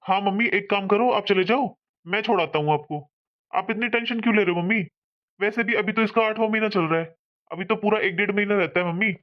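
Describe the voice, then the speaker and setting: A dull, lo-fi recording of a young adult son in a cartoon family drama voiced by a man. His voice is high for a man, and he sounds easygoing, practical and reassuring. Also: fast talker, natural voice. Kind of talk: animated family drama dialogue.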